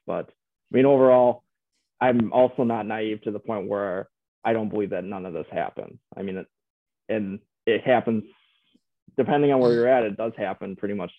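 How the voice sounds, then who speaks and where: A man's voice: lowish voice, gravelly, dry recording. A man speaking as a guest, reflecting hesitantly with many fillers and restarts. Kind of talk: reflective conversational storytelling. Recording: phone-like narrow sound, dry.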